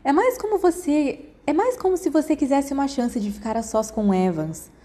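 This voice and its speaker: A woman's voice: high voice, animated, moderate pace, dark tone, voice pushed up. A woman voicing a playful, cocky, teasing teenage schoolboy in a storybook reading.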